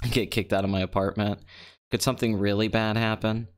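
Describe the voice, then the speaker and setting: A man in his twenties from Pennsylvania, hosting a live stream alone in an empty apartment and talking low and calm into a microphone with a flat, deadpan delivery. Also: a little fast, smooth. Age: 20s. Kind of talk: casual solo live-stream talk. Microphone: desk microphone.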